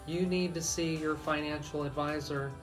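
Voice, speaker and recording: A man's voice: on the high side, steady tone, moderate pace. American man hosting talk radio over music. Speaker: radio host and estate planning attorney. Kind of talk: live talk radio discussion.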